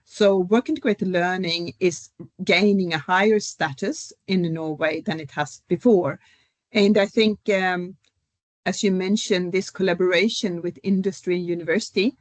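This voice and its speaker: A middle-aged professor, speaking as a guest in an academic panel discussion. She explains at a measured pace, with frequent fillers. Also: fairly low pitch, dry recording. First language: Swedish.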